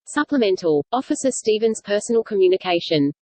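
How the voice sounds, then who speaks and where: A woman's voice: mid-range voice, expressive, brisk, darkish tone, no echo, natural voice. A woman voicing an impersonal ship computer that makes clipped, formal announcements in a sci-fi audio drama.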